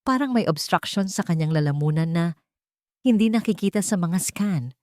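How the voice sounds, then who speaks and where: A woman's voice: on the low side, lively intonation, moderate pace, a little mellow, no room sound, studio quality, close to an everyday voice. A woman playing a timid but determined young resident doctor in an audio drama, polite and hesitant. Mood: hesitant, earnest.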